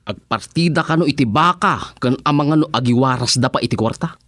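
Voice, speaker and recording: A man's voice: mid-range voice, fairly quick, a bit bright, dry recording, studio quality, lightly acted. A man with an Ilocano Filipino accent playing a loyal, money-minded village councilor in a radio comedy-drama. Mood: eager.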